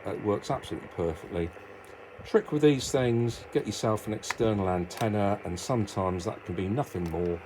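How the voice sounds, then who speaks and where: A man's voice: low-pitched, noisy background. A middle-aged British man giving a casual, explanatory hobby talk, rambling informally and thinking aloud as he goes. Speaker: amateur radio hobbyist presenter.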